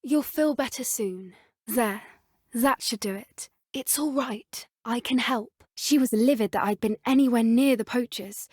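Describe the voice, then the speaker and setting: Sweet earnest british schoolgirl witch for a video game, kinda high soft-spoken voice, very dry studio sound. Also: a little slow, husky, dry recording. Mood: gentle, soothing, then earnest, reflective. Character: earnest, gentle teenage witch student who loves creatures. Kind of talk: video game character dialogue.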